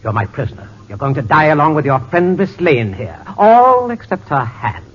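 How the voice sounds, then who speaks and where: A man's voice: fairly high pitch, very animated, normal speed. A man acting a cunning, domineering mad scientist with theatrical, imperious menace in 1940s radio style. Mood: gloating, menacing. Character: gloating male mad-scientist villain, cunning, domineering hypnotist. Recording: dull, noisy 1940s radio recording.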